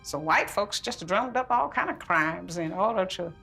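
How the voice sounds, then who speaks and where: A woman's voice: fairly low pitch, expressive, moderate pace, creaky, pushed and bright, slightly rough, exaggerated voice. A woman playing a kindly, chatty older churchgoing lady in an amateur film. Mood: earnest, explaining. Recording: film clip with music underneath.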